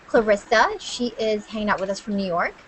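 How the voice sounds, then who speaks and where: A woman's voice: mid-range voice, fairly quick, over a noisy crowd, rough recording. A woman hosting a live video-call interview, bubbly and a bit hesitant, with frequent ums. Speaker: host.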